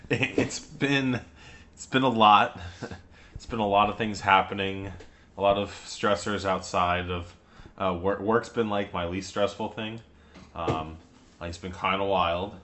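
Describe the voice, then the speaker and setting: A man with a somewhat low voice co-hosts a relaxed podcast, spinning stories in a loose, chatty flow. He laughs often mid-sentence and swears casually as he talks. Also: a little slow, a little hiss or hum. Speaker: podcast co-host. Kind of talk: casual chat.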